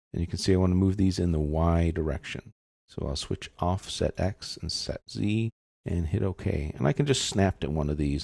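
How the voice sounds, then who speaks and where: Low, gravelly-voiced man giving a tutorial. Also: a little mellow, no echo, studio quality. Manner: casual, informal explaining. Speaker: software tutorial instructor. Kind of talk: step-by-step screen tutorial narration.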